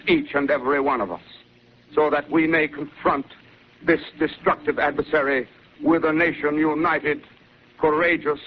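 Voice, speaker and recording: A grave government secretary, acted by a man, addresses the nation in slow, oratorical tones. This is 1930s radio drama, heard through a dull, hissy broadcast. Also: a little high, close to an everyday voice.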